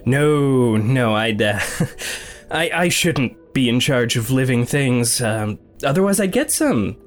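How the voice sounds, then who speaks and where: An actor playing an awkward, self-deprecating man in an audio drama, speaking in a halting, uneasy way. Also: medium pitch, expressive. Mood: nervous, self-deprecating. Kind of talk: naturalistic audio drama dialogue. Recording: music underneath. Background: background music.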